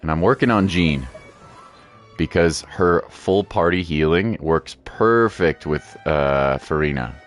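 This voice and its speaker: A man's voice: sits low, slow, faint music behind. A man giving casual, playful gameplay commentary as a streamer, often repeating his phrases. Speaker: game streamer.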